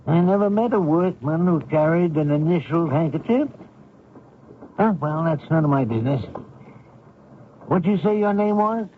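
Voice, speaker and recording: An actor playing a blunt, folksy small-town man who probes strangers, husky, in old-time radio drama style. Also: fairly high pitch, animated, normal speed, long pauses, phone-quality sound, a little hiss or hum, close to an everyday voice. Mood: suspicious, prying. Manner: folksy, probing, plain-spoken.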